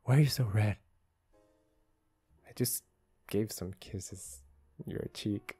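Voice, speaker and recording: A man playing a smitten, teasing young office worker in an ASMR romance roleplay, speaking softly and intimately, often close to a whisper. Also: on the low side, no room sound. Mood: playful, affectionate. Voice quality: soft, breathy, often dropping to a whisper. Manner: slow, halting, intimate, teasing. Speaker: ASMR voice actor. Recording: music behind a close voice. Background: soft instrumental music.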